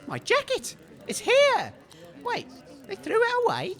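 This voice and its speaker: A naive, excitable DJ in an audio comedy. The voice is extremely high and bright, leaping all over the scale. The manner is childlike and squeaky. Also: female voice, unhurried, full character voice. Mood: thrilled. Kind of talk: comedic audio drama acting.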